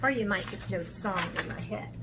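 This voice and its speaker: A woman's voice: medium pitch, fairly quick. A woman hosting a children's art camp, talking casually and chattily to young viewers. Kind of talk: casual talk to children, read-aloud. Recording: clear background noise.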